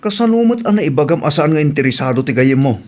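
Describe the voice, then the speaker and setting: Man acting a high-pitched exasperated Ilocano father. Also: expressive, fast talker, darkish tone, lightly acted. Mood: suspicious. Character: older family man, exasperated, suspicious father and husband. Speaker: radio drama actor. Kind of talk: Ilocano comedy radio drama acting.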